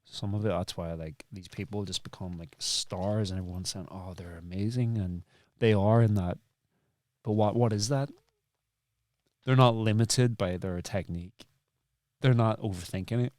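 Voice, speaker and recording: A man's voice: lowish voice, steady tone, moderate pace, a little mellow, dry recording. A man hosting an interview in reflective conversation, rephrasing and repeating his points for emphasis. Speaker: podcast host and interviewer.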